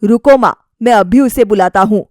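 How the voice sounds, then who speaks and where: A woman voicing a bossy, thrifty husband who complains forcefully in a family story. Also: mid-range voice, normal speed, no room sound, studio quality, lightly acted. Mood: impatient. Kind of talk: acted dialogue in a family story.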